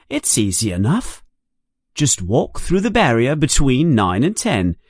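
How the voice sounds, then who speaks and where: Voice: mid-range voice, a little mellow, breathy edge, dry recording, studio quality, exaggerated voice. A narrator voicing a kindly, reassuring middle-aged mother in a storybook.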